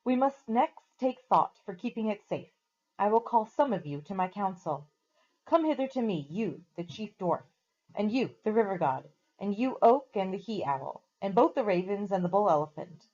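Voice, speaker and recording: A woman voicing a wise, grave male lion who speaks slowly and solemnly in a storybook reading. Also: mid-range voice, animated, mellow, lo-fi sound, lightly acted. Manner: slow, solemn pronouncements.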